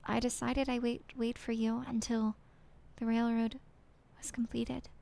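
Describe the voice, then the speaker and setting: A woman voicing a heartbroken young woman reading out her farewell letter in a halting, apologetic half-whisper. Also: a little high, level tone, creaky, mellow.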